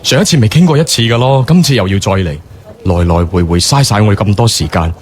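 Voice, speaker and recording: A man's voice: lowish voice, expressive, fast, close to an everyday voice. An actor playing an impatient, flippant, arrogant businessman in a radio drama, dismissive and offhand.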